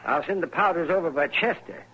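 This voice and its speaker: An actor playing a crusty, teasing older American town doctor in a radio western, speaking in a crotchety, wry manner. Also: male voice, on the high side, brisk, bright, forceful tone. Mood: wry, grumbling. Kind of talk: 1950s radio western acting. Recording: dull, faintly noisy vintage radio recording.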